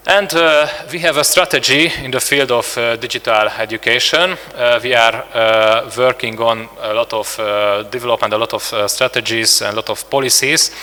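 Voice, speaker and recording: Hungarian-accented male conference presenter, hesitant and full of fillers, bright voice, long hall echo. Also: mid-range voice. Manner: halting, many filler sounds and restarts. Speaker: conference speaker.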